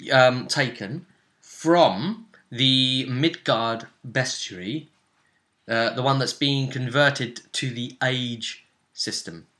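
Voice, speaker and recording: Forceful, bright-voiced man as game master. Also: mid-range voice, takes it slowly. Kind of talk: casual recap of a tabletop role-playing session.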